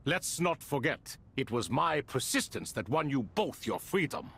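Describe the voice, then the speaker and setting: An actor playing an ancient, grand and wry elder in a video game, speaking in theatrical, courtly phrases. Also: male voice, medium pitch, sing-song, normal speed, gravelly, fairly bright tone, slight background noise. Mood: wry, playful. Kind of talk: game voice acting.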